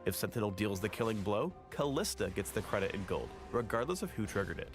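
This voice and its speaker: A man's voice: fairly low pitch, very animated, fast. A man reading scripted narration for a game guide in a polished, instructional manner with light quips. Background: background music.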